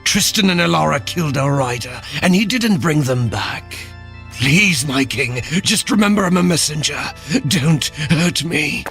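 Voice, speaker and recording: A man playing a servile, sly royal advisor with a halting, insinuating manner in a dark fantasy audio drama. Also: fairly high pitch, animated, gravelly, exaggerated voice. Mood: nervous, servile. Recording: music behind.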